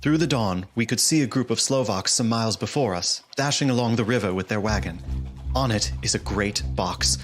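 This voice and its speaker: A man's voice: lowish voice, fairly quick, soft background music, close to an everyday voice. A man playing a resolute young adventurer in a gothic audio drama, narrating earnestly and dramatically. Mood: grave, dramatic. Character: resolute young male adventurer hunting a vampire. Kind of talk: audio drama acting, first-person journal narration.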